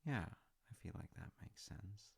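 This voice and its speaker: A man playing a warm, gently teasing dad in an intimate audio roleplay, speaking thoughtfully and reassuringly with a gravelly voice. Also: deep voice, animated, measured pace, exaggerated voice. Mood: fond, reassuring. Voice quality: gravelly, creaky. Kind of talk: intimate audio roleplay, slice-of-life acting.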